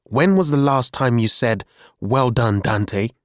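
A man's voice: moderate pace, darkish tone, dry recording, studio quality, natural voice. A man voicing a resentful, hot-tempered seventeen-year-old boy, defensive and emotional in an audiobook story. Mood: angry, defensive. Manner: defensive, emotional, raised high voice when upset.

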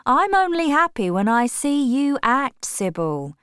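A woman's voice: high-pitched, very animated, measured pace, fairly bright tone, clear, resonant tone, no room sound, studio quality, voice pushed up, heavily acted. Woman reading as a tired, worried mother. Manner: anxious, pleading.

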